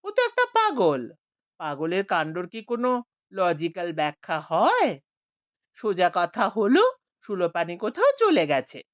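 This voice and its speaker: A woman's voice: lowish voice, wildly swooping pitch, a little slow, highs cut off. A woman voicing an old retired scientist over seventy, skeptical and matter-of-fact. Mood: scoffing.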